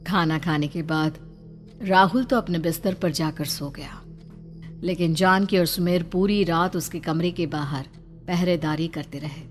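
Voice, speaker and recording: A woman's voice: fairly low pitch, a little slow, faint music behind. A woman reading scripted horror story narration in a suspenseful, dramatic way.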